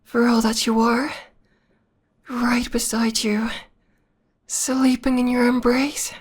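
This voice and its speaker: A woman voicing a possessive, adoring vampire lover in an intimate ASMR roleplay, with a breathy whisper and a slow, tender, lingering delivery. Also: a little high, level tone. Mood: possessive tenderness.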